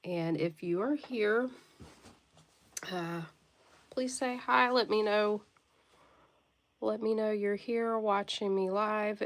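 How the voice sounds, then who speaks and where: A woman with a congested, stuffy voice talks in an unhurried, leisurely way during a live online sale. She greets her viewers one by one and pauses often to clear her throat. Her pitch sits in an ordinary middle range for a woman. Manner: friendly greetings to viewers by name; occasional coughing and throat clearing. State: stuffy nose from a cold. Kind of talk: casual livestream chat.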